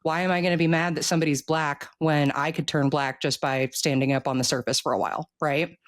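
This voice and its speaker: An author with an American accent talking casually in an interview, explaining opinions in an animated way and often checking in with the listener. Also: medium pitch, a little fast, no echo, clean studio sound.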